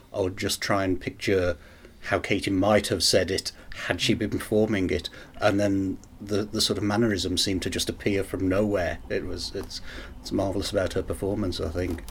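A man speaking as a writer in an interview, thoughtful and hesitant, pausing as he explains his work. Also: sits low, vocal fry, a little hiss or hum. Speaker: short story writer. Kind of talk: interview talk about writing.

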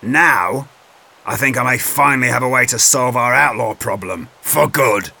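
A man's voice: medium pitch, moderate pace, gravelly, pushed and bright, voice pushed up. A man voicing a scheming, scornful sheriff with mocking, theatrical delivery in an audiobook. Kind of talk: audiobook character voice.

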